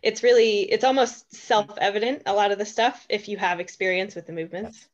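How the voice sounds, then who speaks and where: A woman's voice: medium pitch, steady tone, brisk. A woman from Pennsylvania, a gym coach, answering frankly and hesitantly as she searches for words. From Pennsylvania, USA. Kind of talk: casual interview answers. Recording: muffled lo-fi audio.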